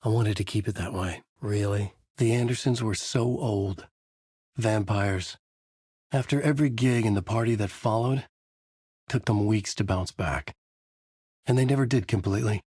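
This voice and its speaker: A man's voice: on the low side, moderate pace, warm tone, slightly rough, dry recording, studio quality. A man voicing a haunted male horror novelist, delivering grim noir-style inner monologue as game voice lines. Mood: brooding. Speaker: narrator.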